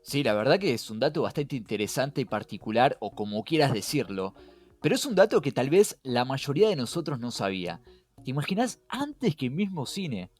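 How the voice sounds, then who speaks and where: A man's voice: medium pitch, big pitch swings, normal speed, a little mellow. A man with a Rioplatense Spanish accent hosting a casual podcast, explaining things in an engaging way with many rhetorical questions. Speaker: podcast host. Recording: music behind. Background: background music.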